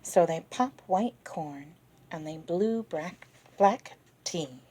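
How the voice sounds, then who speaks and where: Woman, storybook read-aloud, slow and expressive, hushed whispers, speaks to children. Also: lowish voice, slightly rough. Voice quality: soft, hushed whispery delivery on refrains. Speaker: storyteller reading a picture book.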